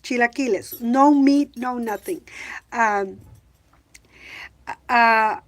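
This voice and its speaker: Casting: middle-aged woman from Mexico, relaxed unhurried vlogger, halting delivery with lots of ums. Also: fairly high pitch. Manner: hesitant, many fillers, switches between English and Spanish. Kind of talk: casual bilingual vlog talk.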